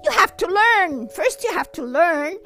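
A friendly, patient little boy who explains things like a know-it-all, voice high and bright, as a woman storyteller puts him on. A bedtime-story child. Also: very animated, unhurried, soft background music, exaggerated voice. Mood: explaining.

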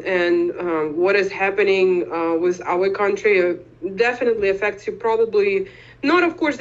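A young woman from Eastern Ukraine, a podcast guest, speaking hesitantly with many fillers and pauses. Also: mid-range voice, dull audio. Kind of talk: conversational interview.

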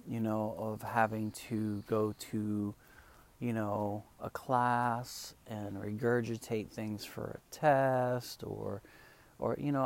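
A man whose first language is Spanish, coaching English learners in a calm, relaxed way with many fillers. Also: lowish voice, gravelly. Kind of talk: relaxed teaching monologue.

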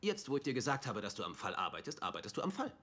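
An actor playing an eccentric, blithely confident detective who explains things in theatrical rambles, in a dubbed TV drama with music behind him. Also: male voice, medium pitch, wildly swooping pitch, rapid-fire, a bit bright, breathy edge, full character voice. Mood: breezy certainty.

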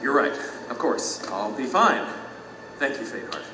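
A man's voice: mid-range voice, very animated, measured pace, background noise. An actor playing a self-assured yet uneasy man summoned to judgment, exclaiming politely in a dramatic audio drama. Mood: nervous bravado. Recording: echoing hall, distant, music underneath.

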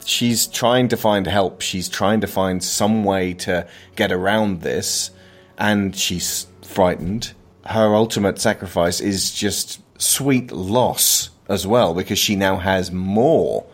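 Male film podcast host, low voice, earnest and thoughtful, faint music underneath. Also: takes it slowly. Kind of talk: reflective film discussion.

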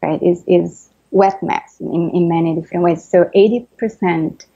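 A woman with an Italian accent explains her field as an interview guest, measured and patient. Her voice sits low, mellow and a little breathy. The recording is dull and lo-fi. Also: steady tone. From Italy. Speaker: neuroscientist guest. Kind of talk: interview answers.

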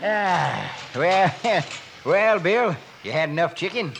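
A man's voice: high-pitched, sing-song, slow pace, gravelly, full character voice. A man playing a stubborn old rancher in a radio western, blustery and stammering, with a rustic American Western accent. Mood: hearty, chuckling. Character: stubborn, crusty old male rancher, feuding clan head. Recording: noisy vintage radio transcription.

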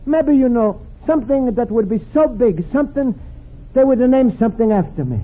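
A man's voice: very high-pitched, animated, moderate pace, phone-quality sound, a little hiss or hum. An actor playing a sweet, naive Italian immigrant man with an Italian accent and broken English, in an old radio comedy. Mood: wistful. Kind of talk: 1950s radio comedy acting. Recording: old radio broadcast, studio audience.

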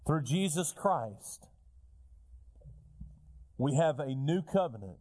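Pastor preaching with a cold. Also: male voice, medium pitch, dark tone. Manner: earnest preaching, repetitive lists, rising emphasis. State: has a cold, slightly raspy. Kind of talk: sermon.

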